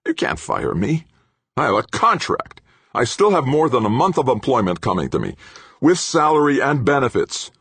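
A man's voice: deep voice, huge pitch swings, brisk, vocal fry, hardly any pauses, breathy edge, no room sound, pitched above the performer's own voice, exaggerated voice. A man voicing a brash, spiteful engineer in an audiobook, whining, defiant and sneering. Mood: malicious. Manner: defiant, sneering, screechy when angry. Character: lanky, brash, spiteful male engineer, whining and blame-shifting.

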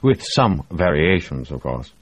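A man's voice: rumbling low, very animated, unhurried, husky, dry recording, full character voice. A man voicing a sly, leering English ship's doctor who talks in a smug, teasing way. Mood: mock-serious. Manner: smug, teasing, with a crooked grin.

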